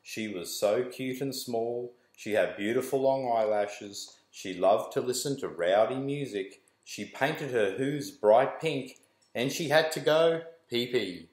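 A man's voice: mid-range voice, a little slow. Man reading a picture book, roomy echo. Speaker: school staff member reading aloud to children. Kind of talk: read-aloud of a rhyming picture book. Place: small indoor room with bare walls.

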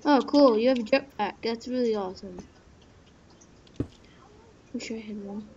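A gamer chatting casually in character during a Minecraft roleplay. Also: unhurried. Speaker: player in a gaming video. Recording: muffled low-grade mic with loud noise.

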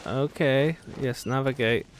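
A man's voice: medium pitch, measured pace, very noisy. A man giving casual gameplay commentary, with playful, sarcastic asides. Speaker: gaming video host. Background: game music.

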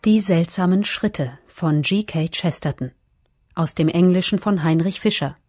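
A woman's voice: lowish voice, unhurried, a little mellow, no echo, studio quality, faint music behind. A woman formally announcing a title and credits from a script.

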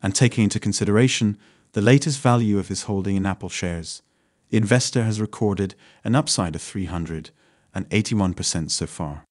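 A man narrating a scripted finance video in a steady read, lifting his voice at the start of each new point. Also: lowish voice, normal speed, dry recording, clean studio sound.

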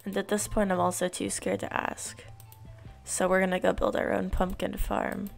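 A woman's voice: medium pitch, monotone, moderate pace, gravelly, soft background music. A young woman streaming a block-building game, muttering casual commentary to herself while getting over being sick. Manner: mumbling self-talk. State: recovering from illness.

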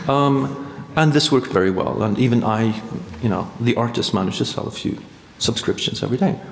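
Swedish-accented man in an echoey hall. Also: medium pitch. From Sweden. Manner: rambling, full of hesitations and restarts. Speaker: performance artist. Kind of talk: performance monologue. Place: large hall. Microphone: stand mic. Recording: echoey hall, long reverb.

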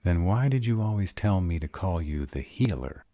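Man playing a very deep-voiced sarcastic vampire. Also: lively intonation, normal speed, mellow, no echo. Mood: unsure, vulnerable. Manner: sarcastic, wry. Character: sarcastic grown male vampire, guarded, insecure, starved of love. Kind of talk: audio roleplay acting.

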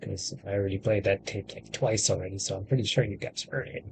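Low-voiced man commenting on a game. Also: fairly even intonation, creaky, a little hiss or hum. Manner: halting, rambling. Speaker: gaming video creator.

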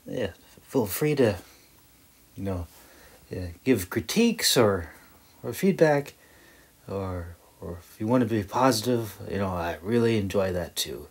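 A man who narrates and shares his own audiobook stories, talking with deliberate, broken phrasing and pauses. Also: on the low side, vocal fry, a little mellow, husky. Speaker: audiobook narrator and author-creator. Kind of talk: scripted story narration, then casual thanks to listeners.

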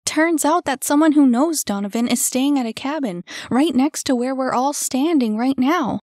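A woman voicing an eager young woman bringing news in a raised, excited voice. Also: high voice, moderate pace, no room sound, clean studio sound, pitched above the performer's own voice.

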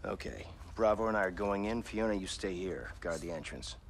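A gruff male mercenary commander from an action game, terse and resolute in a deep voice, over faint game noise. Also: animated, fairly quick. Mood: firm. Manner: terse, authoritative. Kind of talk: video game voice acting.

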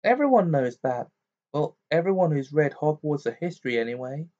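A man's voice: fairly high pitch, lively intonation, moderate pace, mellow, highs cut off, pitched above the performer's own voice, lightly acted. A man voicing a bookish, bossy teenage girl in a storybook, sniffy and matter-of-fact. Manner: matter-of-fact, know-it-all.

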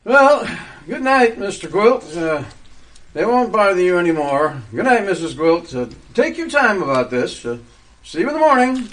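Radio theater small-town promoter male actor: showy, excitable, exclamatory, very animated high voice. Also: normal speed, low-quality recording. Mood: genial. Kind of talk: community radio theater acting.

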